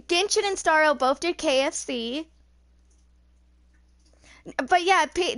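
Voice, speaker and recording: A woman's voice: sits high, fairly bright tone, dry recording. A woman in her twenties chatting casually while gaming, with a rambling, informal way of talking. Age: 20s. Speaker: video game streamer.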